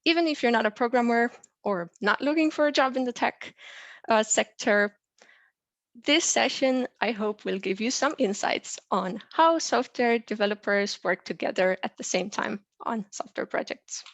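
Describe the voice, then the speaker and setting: A young adult woman from Romania, a software engineer, presents a tutorial in a clear, explanatory way with frequent "uh" and "um" fillers. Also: fairly high pitch, normal speed, no room sound. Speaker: software engineer teaching a lesson.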